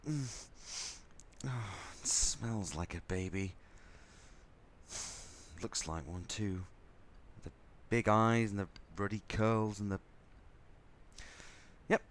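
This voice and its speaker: A man's voice: fairly low pitch, takes it slowly, pauses often, slight background noise. An actor playing an exasperated, sarcastic, foul-mouthed crewman in a science fiction audio drama. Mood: grudging. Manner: sardonic, ranting.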